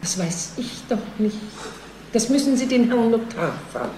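An actress playing a curt, unforthcoming notary's clerk in a radio crime drama. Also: female voice, a little high, sing-song, normal speed, dark tone. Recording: roomy, faint noise.

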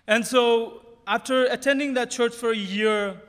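A man shares his story from a church pulpit. His voice sits high for a man, and it rings out with a long reverb through the big sanctuary. He speaks earnestly, with touches of humor and frequent restarts. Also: steady tone, unhurried, a bit bright. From Nepal. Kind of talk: personal testimony from a pulpit. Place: large church sanctuary stage. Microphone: podium microphone.

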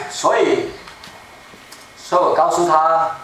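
A man in his seventies preaching a sermon in a small church, speaking slowly and emphatically and repeating his key phrases. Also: fairly high pitch, bright, forceful tone. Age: 70s. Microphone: room mic from a far camera. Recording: echoey room, distant mic, faint noise.

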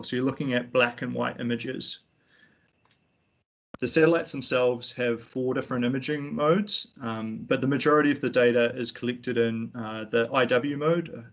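A young man with a New Zealand accent, giving a tutorial over a slide presentation in a relaxed way, with frequent ums and restarts. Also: medium pitch, highs cut off. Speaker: fisheries analyst giving a tutorial. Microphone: computer mic on a video call.

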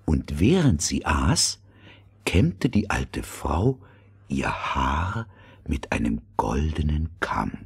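Male fairy-tale narrator, low breathy husky voice, slow, lively intonation.

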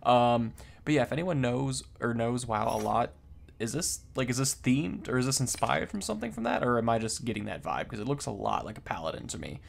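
A man's voice: mid-range voice, lively intonation, fairly quick, gravelly. A young adult man giving casual game commentary as the host of a gaming video.

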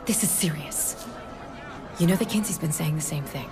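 A woman playing a serious, urgent presidential staffer in a video game, speaking in a hushed, insistent way. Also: sits low, moderate pace, over music. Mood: worried, urgent.

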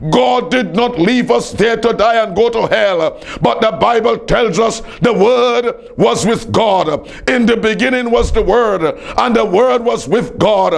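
High-pitched, gravelly male preacher. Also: a little slow, few pauses. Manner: emphatic, declamatory, repeating phrases for effect.

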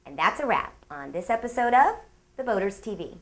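A woman's voice: medium pitch, very animated, a little fast, a bit bright. A woman hosting a boating magazine show, reading a scripted narration in an upbeat way with playful asides. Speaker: show host.

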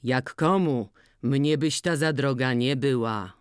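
A reader voicing an older, stubborn farmer who speaks low and coaxing in an audiobook. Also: takes it slowly, clean tone, no echo, studio quality, close to an everyday voice. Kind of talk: audiobook character voice.

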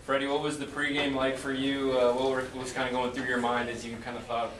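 A man asking informal questions as a sports reporter at a press conference, haltingly rephrasing as he goes. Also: mid-range voice, completely flat delivery, distant mic. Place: indoor press conference room. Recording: echoey, noisy room, off mic.

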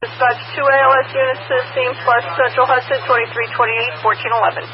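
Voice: high-pitched, level tone, quick pace. An emergency dispatcher relaying information over a two-way radio in a procedural, businesslike manner. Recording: muffled, noisy scanner radio.

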